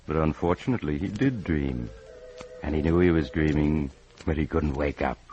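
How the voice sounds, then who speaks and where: Very deep, ominous mystery storyteller. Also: male voice, a little mellow. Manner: deliberate, suspenseful. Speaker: host and narrator. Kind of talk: 1950s radio mystery narration. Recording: muffled vintage radio recording.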